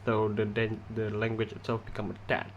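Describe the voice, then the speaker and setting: A young man from Indonesia, a non-native English speaker with an Indonesian accent, giving a halting class presentation with many pauses and restarts. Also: lowish voice, completely flat delivery, highs cut off, a little hiss or hum. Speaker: student presenter.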